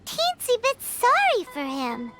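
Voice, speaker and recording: A woman voicing a tiny, excitable childlike fairy companion in a video game, squeaky and chirpy. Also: takes it slowly, exaggerated voice. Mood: wary, musing. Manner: chirpy, refers to self in third person. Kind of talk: video game character acting. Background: music.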